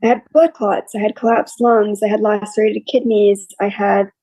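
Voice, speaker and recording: A young woman with a scratchy, quiet voice, openly telling her story as an interview guest in a plain, matter-of-fact way. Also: mid-range voice, little pitch movement, moderate pace, dry recording. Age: young adult. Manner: open and matter-of-fact, listing hardships plainly. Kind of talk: candid personal storytelling.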